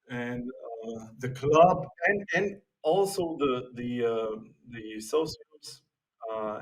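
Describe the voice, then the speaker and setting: A man's voice: mid-range voice, distant mic, rough recording. A man co-hosting a casual sports podcast, speaking bluntly with many hesitations and restarts. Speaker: podcast co-host.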